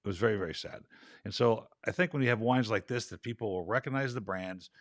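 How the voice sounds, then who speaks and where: A male host in a casual interview chat, a little raspy from travel, chatty and rambling. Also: fairly low pitch, fast talker, no echo, studio quality. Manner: chatty, rambling, laughs easily.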